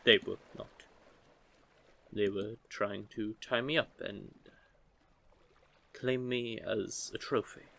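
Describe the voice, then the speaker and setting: A man voicing a curious, teasing young merman in an intimate audio roleplay, with a gently formal, measured way of talking. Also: fairly low pitch, fairly even intonation, gravelly, exaggerated voice. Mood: earnest, flustered. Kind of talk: scripted audio roleplay, intimate one-sided conversation.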